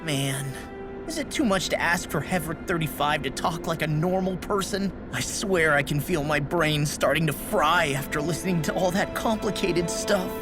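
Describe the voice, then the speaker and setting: A man's voice: a little high, moderate pace, over music, lightly acted. An actor playing a brash, easily exasperated young male fighter in a video game, casual and grumbling. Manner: casual, grumbling, animated wording.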